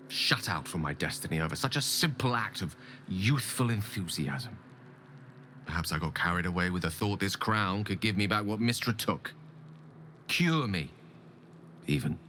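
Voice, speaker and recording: A man's voice: low voice, animated, a little slow, pauses often, pushed and bright, slight background noise, exaggerated voice. A man playing an eloquent, ambitious and embittered wizard in a video game, speaking with earnest, theatrical and formal articulation. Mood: dejected. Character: ambitious, eloquent, embittered male wizard pleading his case. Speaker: video game companion character. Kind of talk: dramatic game dialogue acting. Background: background music.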